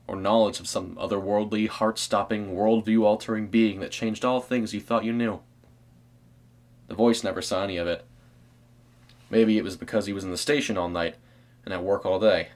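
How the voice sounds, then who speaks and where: A man reading a scripted eerie story as narrator, in a measured, dramatic storytelling manner. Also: fairly low pitch.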